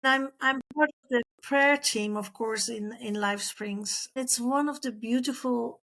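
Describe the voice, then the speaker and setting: Middle-aged female interview guest, fairly high voice, recounts personal anecdotes and voices the quoted conversations. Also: measured pace, fairly bright tone. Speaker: interview guest, former missionary.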